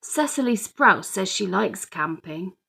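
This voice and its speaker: A woman acts out a small, wilful twin girl of about three from a children's storybook. She is excitable, childish and dramatic, pleading her case with slow, deliberate words. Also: medium pitch, gravelly, slightly rough, exaggerated voice. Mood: excited.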